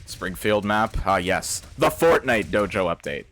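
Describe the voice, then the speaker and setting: Slangy young man streaming, low voice. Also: very animated, a little slow, noisy background. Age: 20s. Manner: slangy, reads chat aloud, swears and laughs often. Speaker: game developer streamer. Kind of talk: casual live stream chat.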